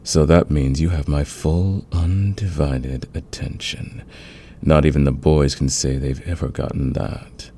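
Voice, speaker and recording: A man playing a smug, flirtatious fantasy villain in a romantic ASMR roleplay, teasing and intimate with a husky, gravelly voice. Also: a bass voice, fairly even intonation, dark tone, slight background noise. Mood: confiding, smitten. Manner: teasing, intimate, drawn-out confiding delivery. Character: flirtatious, smug male fantasy villain, secretly smitten rival.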